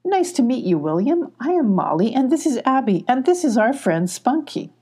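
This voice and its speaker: A woman's voice: a little high, sing-song, normal speed, dark tone, slightly rough, pitched above the performer's own voice. A woman voicing a polite, cheerful young female kitten in a children's storybook, speaking earnestly. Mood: friendly.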